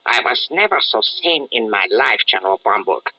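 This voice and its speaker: An actor playing a megalomaniac German Nazi villain, menacing and grandiose, with a German accent in 1940s radio style. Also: male voice, lowish voice, animated, moderate pace, lo-fi sound.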